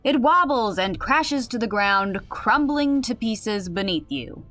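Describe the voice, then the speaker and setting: A woman's voice: on the high side, expressive, slow, a bit bright, soft background music. A woman playing a wry game master who explains and narrates a comedic tabletop game in an audio drama. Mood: narrating, patient.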